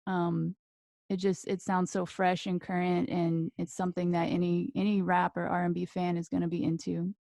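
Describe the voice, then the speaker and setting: A woman's voice: fairly low pitch, little pitch movement, normal speed, gravelly, dark tone, dry recording. A woman hosting a podcast interview, asking easygoing questions and complimenting her guest.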